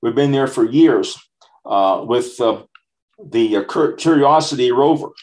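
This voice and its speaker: A man in his sixties or older presents an informal slide lecture, explaining things plainly in short, halting phrases. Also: mid-range voice, a little mellow, poor audio. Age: 60s or older.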